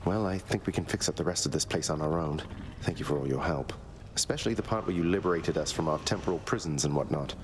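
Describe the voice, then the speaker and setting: Deep, easygoing young prince. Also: male voice, fast talker, hardly any pauses, slight background noise. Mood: affectionate, sincere. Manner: relaxed, casual, sincere. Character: easygoing, affectionate young prince and older brother. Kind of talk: video game voice acting.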